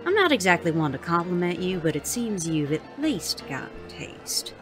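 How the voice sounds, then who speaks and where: Woman acting a deep-voiced, prickly saloon madam. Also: big pitch swings, normal speed, music in the background. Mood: scornful, dry. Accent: American Western drawl. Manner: blunt, dry, cutting. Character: tough, prickly, foul-mouthed Wild West saloon madam. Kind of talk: audio drama acting.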